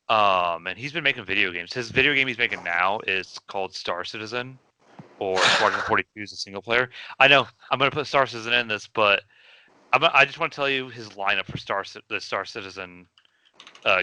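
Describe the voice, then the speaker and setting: A man's voice: fairly low pitch, noisy background. A man co-hosting a casual podcast about video game movies, rambling with many fillers and restarts. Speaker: podcast co-host. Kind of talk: casual chat.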